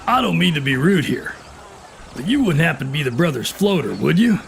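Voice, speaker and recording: A man playing a gravelly Old West sheriff. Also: fairly high pitch, big pitch swings, a little fast, a little hiss or hum. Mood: firm, courteous. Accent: American, Southern or Western drawl. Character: firm, fair, courteous Old West town sheriff. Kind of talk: Western audio drama acting.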